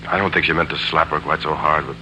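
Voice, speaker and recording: A man's voice: very deep voice, fairly even intonation, fast talker. An actor playing a troubled, earnest father in a 1940s radio drama, urgent and regretful. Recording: noisy old radio broadcast recording.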